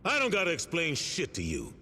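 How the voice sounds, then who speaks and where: A man's voice: a little high, wildly swooping pitch, brisk, bright, forceful tone, a little hiss or hum, exaggerated voice. A man playing a weary older former gang leader in a video game cutscene, streetwise, preachy and exasperated. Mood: defensive.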